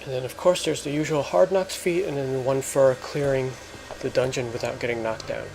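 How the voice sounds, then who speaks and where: A man hosting a let's play, explaining things in casual, halting gameplay commentary. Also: medium pitch, steady tone, creaky, a little mellow, a little hiss or hum, rough recording. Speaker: gamer, let's play host.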